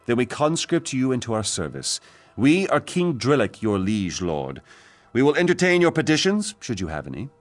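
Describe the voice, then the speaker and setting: A man's voice: mid-range voice, big pitch swings, normal speed, no echo, close to an everyday voice. An actor playing an unhinged self-styled king in a game, theatrical and grandiose. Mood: pompous, regal.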